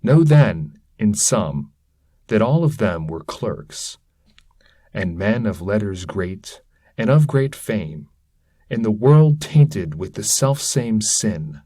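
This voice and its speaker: A man's voice: lowish voice, sing-song, slow pace, dark tone, breathy edge, no room sound, clean studio sound, heavily acted. A man voicing a damned scholar's spirit, a fatherly, bitter old mentor who speaks in grave, archaic oratory within a poetry reading. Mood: grave, disdainful.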